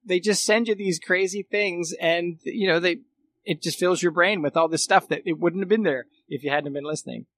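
High-voiced Canadian man, casual talker. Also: moderate pace, a bit bright. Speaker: guest, former radio colleague. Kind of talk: casual conversation.

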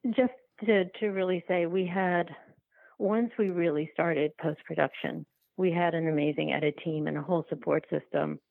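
Female documentary filmmaker as podcast guest, thin phone sound, fry in a lowish voice, casual halting delivery. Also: steady tone, no room sound. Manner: halting, repetitive, self-restarting storytelling. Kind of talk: casual interview talk. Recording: tinny, phone-like line.

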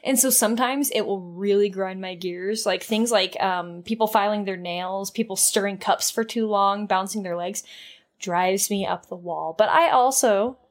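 A woman's voice: mid-range voice, moderate pace. Candid rambling young woman podcaster. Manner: rambling, candid, swears freely, trails off and restarts. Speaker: podcast co-host. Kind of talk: casual podcast chat.

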